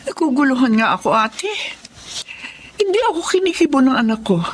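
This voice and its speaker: A woman acting a gentle, anxious mother in her forties in a Filipino drama, pleading and hesitant. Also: high-pitched, sing-song, a little fast, slight background noise.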